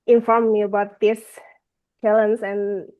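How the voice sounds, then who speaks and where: A woman's voice: medium pitch, little pitch movement, a little slow, narrow, tinny audio. A woman with an Indonesian accent introducing herself politely and hesitantly over a thin-sounding video call. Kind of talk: informal self-introduction.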